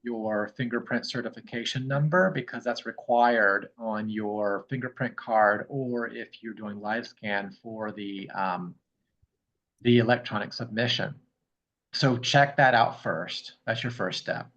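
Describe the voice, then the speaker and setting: A man's voice: mid-range voice, unhurried, fairly bright tone. Man explaining, dull lo-fi call audio. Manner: matter-of-fact, explanatory. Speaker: notary and fingerprint roller, co-host. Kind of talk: casual advice on a group call.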